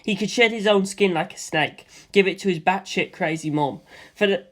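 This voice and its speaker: A woman narrating a story in a steady, expressive audiobook reading. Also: lowish voice, normal speed. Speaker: reader.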